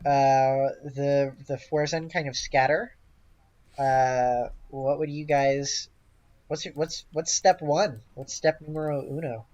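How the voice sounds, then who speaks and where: A game master narrates a tabletop session off the cuff. The delivery is halting and hesitant, with a mid-range pitch. The recording is dull and a little lo-fi. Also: steady tone, darkish tone.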